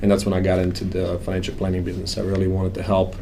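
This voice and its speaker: A man's voice: deep voice, completely flat delivery, racing, mellow, a little hiss or hum. A man from Croatia with a Croatian accent, a firm owner talking plainly and earnestly about his work in a casual interview, with frequent ums. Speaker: financial planning firm owner.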